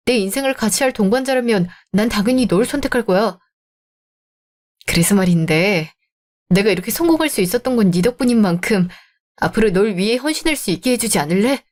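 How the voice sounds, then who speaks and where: A woman's voice: medium pitch, lively intonation, brisk, a little mellow, close to an everyday voice. A woman voicing an earnest, reassuring young man in a radio drama. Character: caring young adult man, earnest boyfriend.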